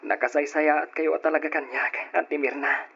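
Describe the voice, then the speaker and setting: A dutiful young nephew in an old radio play, gentle and forgiving in how he talks. His husky voice sits a little high for a man. The recording sounds dull and aged. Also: steady tone, moderate pace, heavily acted. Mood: grateful, earnest. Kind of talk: old radio drama acting.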